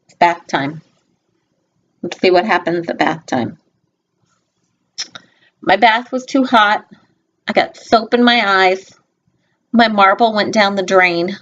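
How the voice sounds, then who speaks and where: A woman reads a picture book aloud to young children at an unhurried, slow pace. Her delivery is expressive, with simple asides to the listeners, and her pitch sits in a middle range. The recording is a little dull and lo-fi. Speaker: storybook reader.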